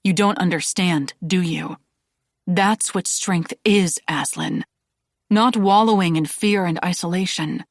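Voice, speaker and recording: A woman's voice: fairly low pitch, measured pace, no echo, clean studio sound. A woman voicing an earnest, determined young male Jedi. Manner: earnest, pleading.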